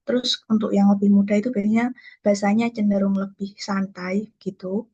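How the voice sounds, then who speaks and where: A young woman with a lilt walks classmates through her part of a lesson, in a relaxed explanatory way with little verbal tags. Her voice is husky and breathy, and the audio comes through muffled and lo-fi. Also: medium pitch, fairly quick. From Kediri, East Java. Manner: casual explanatory, fillers like gitu. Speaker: student presenter. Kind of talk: online class presentation.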